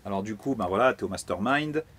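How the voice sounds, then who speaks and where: A man's voice: lowish voice, lively intonation, fast, fairly bright tone, slightly rough. A man hosting an interview, speaking in a friendly, encouraging way with plenty of casual fillers. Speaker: host interviewer.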